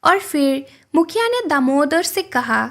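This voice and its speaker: High-voiced woman narrating a story. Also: sing-song, unhurried, fairly bright tone. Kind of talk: scripted moral-story narration.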